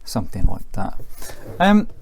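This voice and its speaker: A British man giving a casual, hands-on demonstration as a radio reviewer, explaining as he works in an informal, low-key way. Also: very animated, unhurried, vocal fry, background noise.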